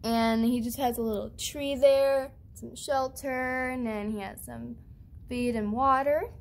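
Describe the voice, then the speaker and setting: A young woman giving a casual, chatty show-and-tell tour of her toy barn setup with a handheld phone camera, pausing on fillers. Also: on the high side, steady tone, creaky. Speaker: channel host.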